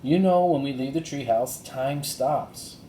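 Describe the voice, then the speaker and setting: Man voicing an eager, high-pitched little girl. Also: unhurried, mellow, reverberant, slight background noise, natural voice. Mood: reassuring. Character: eager, excitable young girl adventurer.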